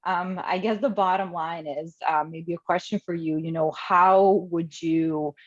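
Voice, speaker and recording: A woman's voice: fairly low pitch, fairly even intonation, unhurried. A woman from Croatia on a video call, asking a thoughtful question in a hedging way, with many fillers. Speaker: webinar attendee. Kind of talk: casual question from the audience. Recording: muffled lo-fi video call audio.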